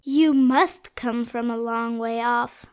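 A tearful, earnest little schoolboy from a storybook. His voice sits very high and sounds quite unlike an adult's, and he pleads eagerly and sincerely. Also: fairly even intonation, slow, clean tone. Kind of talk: storybook read-aloud.

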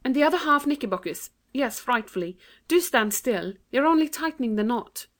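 Raised girlish voice, woman acting, sensible elder sister, earnest, brisk, bossy, storybook character. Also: high voice, lively intonation, pitched above the performer's own voice.

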